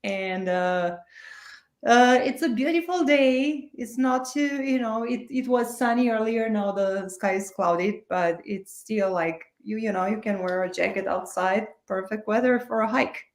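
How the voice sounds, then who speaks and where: Romanian accent woman, talks kinda halting and warm, echoey room sound. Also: mid-range voice. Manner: warm, hesitant, many restarts. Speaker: guest author. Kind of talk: interview answers.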